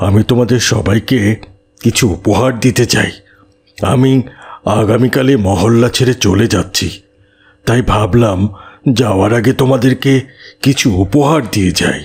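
Man playing a husky, patient village imam. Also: lowish voice, unhurried, pauses often, a little mellow, full character voice. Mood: kindly, gentle. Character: kindly village imam, patient adult man giving moral lessons. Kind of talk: cartoon moral-tale dialogue.

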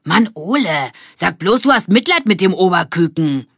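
A woman's voice: fairly low pitch, very animated, moderate pace, fairly bright tone, breathy edge, no room sound, clean studio sound, exaggerated voice. A woman voicing a cocky, teasing boy from a gang in a brash, mocking way.

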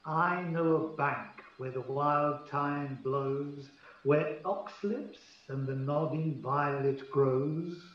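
A man's voice: on the high side, slow. A man playing a scheming, haughty fairy king in a Shakespearean radio play, relishing each phrase theatrically. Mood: commanding, scheming. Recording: muffled, very echoey, distant from mic.

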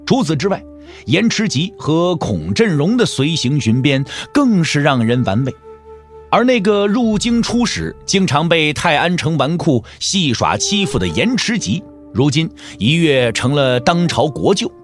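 A man narrates a martial-arts novel as a dramatic storyteller. His voice sits a little high and moves with lively swings, wry and theatrical, over soft music. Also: a little slow. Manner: theatrical, wry, with rhetorical questions. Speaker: audiobook narrator.

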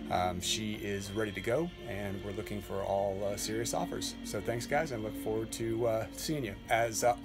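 Chatty man giving a phone-filmed boat tour. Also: mid-range voice. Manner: relaxed, chatty, with frequent fillers and self-corrections. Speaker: yacht broker. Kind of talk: casual walkthrough tour. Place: aboard a sailboat in a marina, deck and cabin. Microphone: handheld phone camera. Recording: phone recording with music playing nearby.

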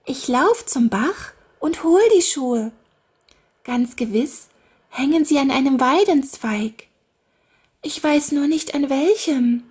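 A woman's voice: high voice, animated, slow, darkish tone, voice pushed up. A woman voicing a carefree little girl, the daughter of a fairy king, who chatters lightly. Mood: eager.

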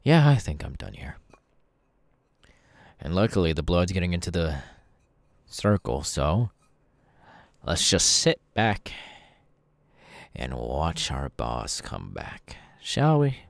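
Man acting a very deep, creaky, smug masked killer. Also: huge pitch swings, takes it slowly, long pauses, no echo, full character voice. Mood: satisfied. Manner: mocking, menacingly casual, chuckling taunts. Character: sadistic masked young male killer, smug, gleeful cult henchman. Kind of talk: ASMR audio roleplay, villain monologue.

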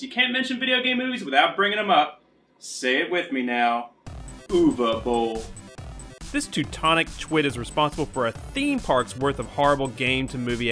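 A man's voice: medium pitch, bright, forceful tone. A man in his twenties narrating a scripted video essay as host, wry, sarcastic and profane, recorded in a small room at home. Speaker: host of a video game movie review show.

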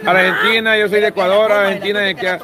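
A man's voice: high voice, level tone, brisk. A man with a Salvadoran accent chatting casually as the host of a livestream. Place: outdoors at night in a busy street. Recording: busy outdoor crowd noise. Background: crowd chatter.

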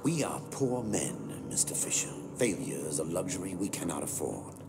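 Cold terrorist boss, extremely deep, gravelly, hushed, deliberate, quietly threatening, game villain. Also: male voice, very animated, heavily acted. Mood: quiet menace. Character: cold, menacing male leader of a terrorist cell. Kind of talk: video game villain acting. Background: loud music.

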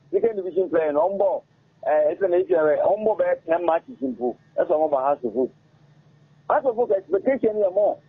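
Husky, lively Ghanaian man, phone-like sound. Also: high-pitched, dry recording. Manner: halting, repetitive, switches languages mid-sentence. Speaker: interview guest, former footballer. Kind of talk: casual interview talk. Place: radio studio. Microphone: studio microphone on arm. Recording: muffled phone-like audio.

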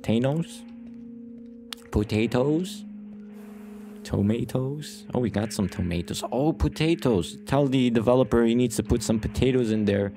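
A man's voice: medium pitch, measured pace, warm tone. A man giving casual let's play commentary as host, rambling and playful, often repeating his own phrases, short on sleep. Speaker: gaming YouTuber, host. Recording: music behind the voice.

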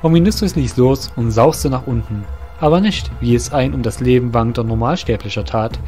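A man's voice: medium pitch, normal speed, dark tone. A man reading scripted fantasy narration for an audio drama. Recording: music under the voice. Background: music.

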